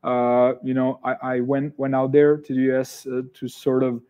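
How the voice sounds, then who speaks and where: A man's voice: mid-range voice, flat delivery, moderate pace, warm tone, clean tone. A man with a Serbian accent, speaking English as his second language, hosts an online interview, hesitant with many fillers. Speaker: host.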